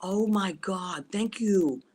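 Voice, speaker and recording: A woman's voice: mid-range voice, slow pace, darkish tone. A woman whose first language is Italian, hosting a casual livestream over a video call, rambling enthusiastically with many fillers and restarts. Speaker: talk show host. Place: abroad on a trip, streaming remotely.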